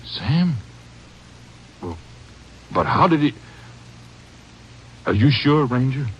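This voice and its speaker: An actor playing a blunt, guarded farmer under questioning in an old-time radio crime drama. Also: male voice, mid-range voice, sing-song, slow. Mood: startled. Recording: dull, noisy vintage radio recording.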